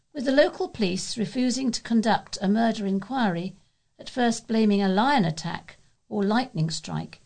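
A woman's voice: medium pitch, gravelly, a little mellow. A woman reading scripted local news as a volunteer newsreader.

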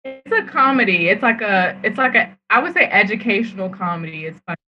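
A woman's voice: medium pitch, lively intonation, fairly quick. A woman chatting eagerly on a podcast. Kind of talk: casual chat. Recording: muffled audio with faint noise.

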